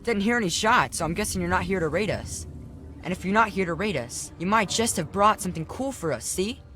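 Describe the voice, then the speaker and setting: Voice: medium pitch, expressive, fairly quick, sharp tone. A performer playing a cocky, chatty child trader in a video game, brash, slangy and casual. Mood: brash, curious. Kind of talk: video game character acting.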